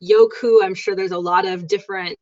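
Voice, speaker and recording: A woman's voice: medium pitch, steady tone, brisk, darkish tone. A woman teaching in a casual chat, explaining things through examples. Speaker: English teacher. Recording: dull lo-fi sound.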